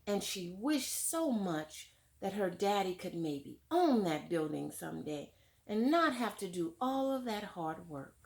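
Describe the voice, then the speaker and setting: Slow, lively-voiced woman reading to kids. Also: medium pitch, vocal fry. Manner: warm teacherly, asks questions to young listeners. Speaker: teacher reading aloud to children. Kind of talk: children's read-aloud with discussion.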